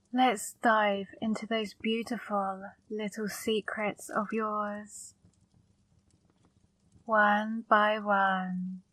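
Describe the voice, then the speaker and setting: A woman plays a flirty female demon in an intimate ASMR roleplay, speaking extremely slowly and close to the mic. Her delivery is even in pitch, coaxing and softly commanding, with a fond, possessive edge. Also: no room sound, rough recording. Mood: teasing, probing. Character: flirty female demon, seductive, controlling, fondly scolding. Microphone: close microphone.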